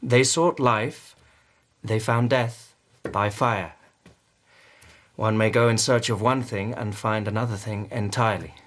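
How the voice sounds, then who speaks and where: An actor playing a sardonic, menacing lord of a Japanese court in a radio drama, with formal, probing speech. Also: male voice, on the low side, steady tone, a little slow, a bit bright, a little hiss or hum. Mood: intense, musing. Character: self-possessed, menacing Japanese palace lord with sardonic temper.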